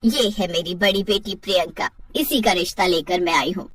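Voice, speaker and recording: Woman playing a scornful, low-toned middle-aged stepmother. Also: normal speed, husky, no room sound, studio quality. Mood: contemptuous. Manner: scornful, bossy. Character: mean, scheming middle-aged stepmother, cold and contemptuous. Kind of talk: Hindi moral-story cartoon acting.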